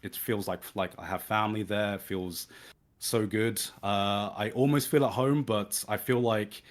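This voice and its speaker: Hesitant British man with a lowish voice. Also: fairly even intonation, a little slow. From England. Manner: hesitant, searching, many restarts. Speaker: guest. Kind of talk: casual conversation.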